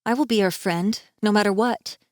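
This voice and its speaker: A woman's voice: medium pitch, normal speed, dry recording, studio quality, lightly acted. A woman voicing a loyal, resolute young human girl in a fantasy audiobook, speaking in a firm, sincere manner.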